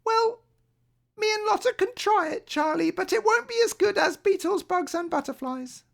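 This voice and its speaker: A woman voicing an excitable, stubborn, fretful little girl in a children's storybook, with childlike, insistent emphasis. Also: very high-pitched, normal speed, gravelly, voice pushed up, full character voice. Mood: eager. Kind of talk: children's storybook read aloud.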